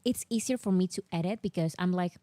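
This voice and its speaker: A young woman hosting a casual chat with a strong non-native English accent, talking in an excitable, slightly whiny way. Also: lowish voice, no room sound. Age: young adult. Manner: excitable, whiny, talks fast about fandom news. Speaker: host.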